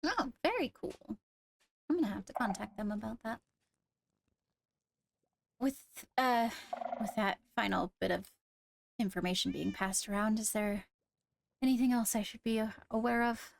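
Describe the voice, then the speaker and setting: Woman with creaky voice, measured roleplay dialogue. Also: fairly high pitch, expressive. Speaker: roleplayer playing a court leader. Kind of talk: improvised in-character conversation in Minecraft roleplay.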